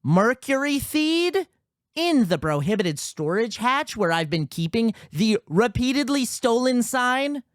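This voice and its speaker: A woman playing a suspicious, sarcastic security officer in a sci-fi radio drama, with a theatrical, drawn-out delivery. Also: on the high side, very animated, dry recording, clean studio sound. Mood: suspicious, triumphant.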